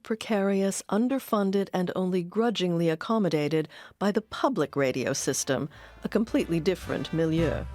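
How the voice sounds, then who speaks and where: A woman with a slightly low voice narrates a documentary as its host. She reads from a script, with faint music underneath.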